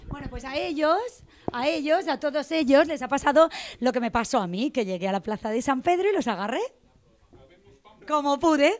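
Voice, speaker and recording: High-voiced, jokey woman reporter. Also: expressive, moderate pace, no room sound. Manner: chatty, jokey, theatrical. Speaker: TV street reporter and interviewer. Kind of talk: playful vox-pop interviewing. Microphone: handheld reporter microphone.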